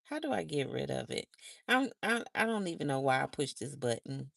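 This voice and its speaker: A hoarse woman, a motivational speaker, telling a rambling family story on a casual livestream with chatty asides and self-corrections. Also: rumbling low, big pitch swings, a little fast, fairly bright tone, dry recording, studio quality. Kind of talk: casual live-stream storytelling.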